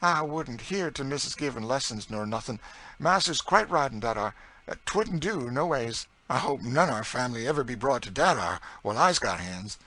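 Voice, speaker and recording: A male narrator plays an enslaved woman who cooks, motherly and eager. The voice is lifted high and pushed out loud and bright, in a folksy dialect full of emphatic exclamations. It belongs to an audiobook story. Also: lively intonation, moderate pace, rough recording. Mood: earnest. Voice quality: folksy dialect speech with dropped sounds. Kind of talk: audiobook character dialogue.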